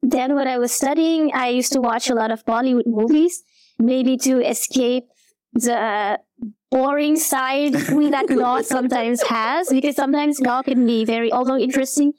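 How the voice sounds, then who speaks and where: A woman's voice: high voice, normal speed, darkish tone, no room sound, rough recording. A woman in her thirties from Belgium, a guest actor in a casual interview, speaking hesitantly with many fillers and restarts.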